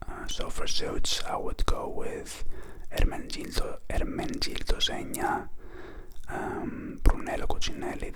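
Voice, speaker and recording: Guy whispering close to the mic, slow soft asmr q&a, lots of ums. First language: Greek. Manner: soft unhurried whisper with many fillers. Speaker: ASMR channel host.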